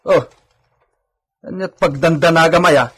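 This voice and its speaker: A man playing a lively, teasing servant on a hacienda in a radio drama, with a husky voice. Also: a little high, a little slow. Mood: playful, teasing. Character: lively, teasing male hacienda servant, deferential to his masters. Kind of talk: Ilocano radio drama acting.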